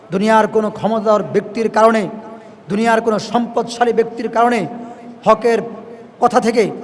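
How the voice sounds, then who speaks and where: A male Islamic preacher speaks in a distinctly high register. He exhorts his listeners emphatically, repeating phrases to drive them in. His voice carries a long, echoing hall reverb. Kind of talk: impassioned sermon to listeners.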